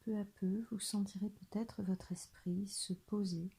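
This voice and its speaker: A woman guiding a body scan meditation in a soft, breathy, near-whispered voice, gentle and soothing, leaving long pauses. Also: mid-range voice, steady tone, poor audio. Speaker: meditation guide.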